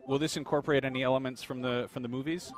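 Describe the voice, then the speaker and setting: A man's voice: medium pitch, fairly even intonation, very fast, soft background music. A man interviewing game developers, asking probing, informed questions.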